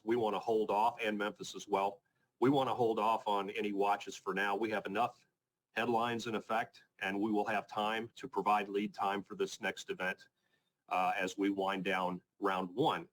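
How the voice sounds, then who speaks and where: A man with a fairly low voice leads a briefing as a weather forecaster. He talks through his slides informally but technically, staying measured and matter-of-fact. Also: fairly bright tone, poor audio. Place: conference call presentation.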